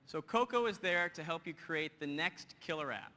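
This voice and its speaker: A man in his thirties giving a lively live software demo on a conference stage, joking with the audience. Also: on the high side. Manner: energetic, quick and joking with the audience. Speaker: presenter. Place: large conference hall stage. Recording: hall reverb, faint noise.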